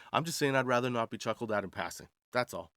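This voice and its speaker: A man's voice: medium pitch, fairly bright tone, no room sound, clean studio sound. A man in his forties telling stories in a casual, slangy, comedic way, as a podcast host amused by his own jokes. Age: 40s. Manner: slangy, ends remarks with "dude" and "right". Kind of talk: casual comedic storytelling monologue.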